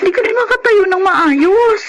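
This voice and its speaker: A woman's voice: very high voice, lively intonation, normal speed, very noisy. An actress playing a worried, scolding wife and mother in a Filipino radio drama. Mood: worried, annoyed.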